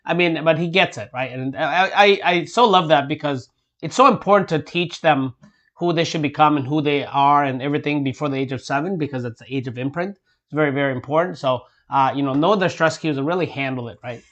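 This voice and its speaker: A man's voice: a little high, brisk, a bit bright, highs cut off. A man co-hosting a casual parenting chat, talking emphatically and often ending points with a question. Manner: emphatic, frequent tag questions. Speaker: co-host, father.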